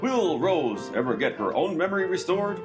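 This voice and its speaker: Man doing a melodramatic serial announcer over loud music. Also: high-pitched, sing-song, normal speed. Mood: mock-dramatic. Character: melodramatic serial announcer, mock-serious and testy. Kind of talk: mock radio serial cliffhanger narration. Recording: loud guitar music behind the voice.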